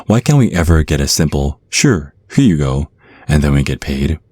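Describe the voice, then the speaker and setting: Man voicing a very deep, menacing vampire naga. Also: very animated, a little mellow, breathy edge, dry recording, studio quality, full character voice. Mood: smug menace. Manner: slow, coaxing, mock-polite menace. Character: menacing, smooth-talking vampire naga brother, male kidnapper. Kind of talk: ASMR roleplay acting.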